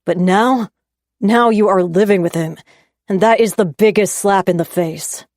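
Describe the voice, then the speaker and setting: A woman voicing a young man, an angry and jealous boyfriend, who talks in raised, sharp and scornful lines. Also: mid-range voice, a little slow, no echo, studio quality, close to an everyday voice.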